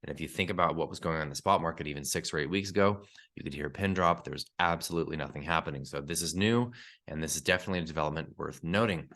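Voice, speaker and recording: A man with a very deep voice walks listeners through a market update podcast, sounding stuffy and congested from a cold. He keeps an even, analytical delivery and drops in the odd "uh" as he goes. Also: rapid-fire. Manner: steady analytical delivery with fillers. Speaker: podcast host and newsletter publisher.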